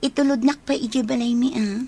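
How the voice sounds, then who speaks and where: Woman acting a high-voiced young village daughter. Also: steady tone, fairly quick, mellow. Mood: plain. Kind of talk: Ilocano comedy radio drama acting.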